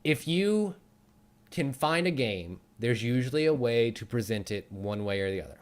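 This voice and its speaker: A man's voice: medium pitch, sing-song, normal speed. A man interviewing a guest in a casual, conversational style as the host of a talk show. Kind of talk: casual chat.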